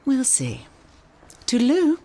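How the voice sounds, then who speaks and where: An actress playing a prim, politely evasive official in a radio drama. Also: female voice, high-pitched, huge pitch swings, slow, mellow, slight background noise, full character voice. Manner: polite, hesitant, formal.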